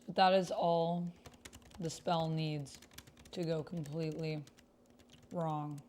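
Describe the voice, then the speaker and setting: A woman's voice: sits low, little pitch movement, slow, a little mellow, a little hiss or hum. A woman streamer chatting casually and thinking aloud as she plays and reads out a text-based roleplaying game. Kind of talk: casual livestream chat with typed roleplay read aloud.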